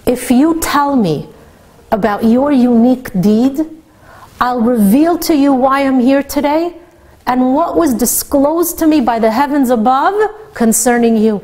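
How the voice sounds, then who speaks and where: A woman's voice: high-pitched, a little slow, darkish tone. A woman voicing a wise, insistent rabbi who presses with questions. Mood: probing. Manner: probing, insistent.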